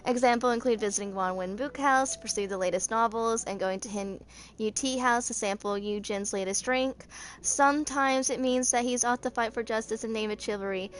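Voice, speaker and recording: A woman in her twenties reading game character lore aloud as an amateur creator, stumbling over words and rereading them. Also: fairly high pitch. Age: 20s. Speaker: amateur gaming fan video creator.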